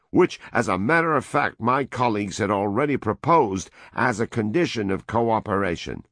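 A man voicing a domineering male world president, loud, preaching and dictatorial. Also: mid-range voice, no room sound, clean studio sound, pitched above the performer's own voice, heavily acted.

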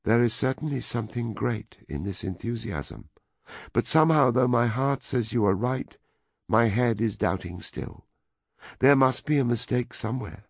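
A man voicing an impassioned European woman who speaks softly and earnestly. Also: mid-range voice, animated, normal speed, vocal fry, breathy edge, no room sound, studio quality, voice pushed up. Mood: doubting. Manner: soft, earnest, pleading.

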